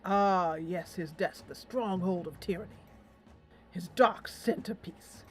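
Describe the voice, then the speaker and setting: A woman's voice: on the low side, slow pace, soft background music. A woman voicing a conspiracy-minded, stubborn teenage schoolboy in a visual novel game, emphatic and melodramatic. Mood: dramatic, suspicious.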